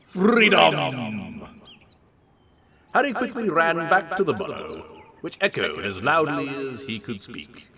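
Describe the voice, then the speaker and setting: Theatrical man reading aloud, wildly swinging pitch. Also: big pitch swings, slow pace, gravelly, sharp tone. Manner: theatrical, mock-serious delivery with big swings of emphasis. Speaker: host reading a humorous story aloud. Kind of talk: dramatic comic reading of absurd fiction.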